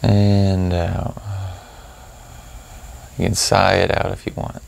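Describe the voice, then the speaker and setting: A man leading a guided meditation as a teacher, calm and unhurried, leaving long pauses as he gives instructions. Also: deep voice, gravelly, a little hiss or hum.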